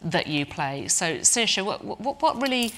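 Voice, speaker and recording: A woman's voice: mid-range voice, sing-song, measured pace, bright, forceful tone. A woman moderating a festival panel, asking the cast questions in a conversational way. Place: stage in front of a live audience.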